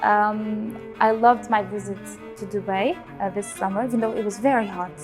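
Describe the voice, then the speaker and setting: A woman in her twenties, born in Syria, a cellist and teacher speaking in a relaxed interview, with many pauses and fillers. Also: mid-range voice. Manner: hesitant, many fillers, warm about music. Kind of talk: relaxed personal interview answers.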